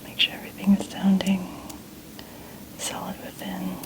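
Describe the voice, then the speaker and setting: A woman doing a soft-spoken ASMR medical exam roleplay, gently whispering in a soothing, reassuring manner. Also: mid-range voice, completely flat delivery, moderate pace, low-quality recording. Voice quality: soft, breathy, largely whispered. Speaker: ASMR performer role-playing a medical examiner.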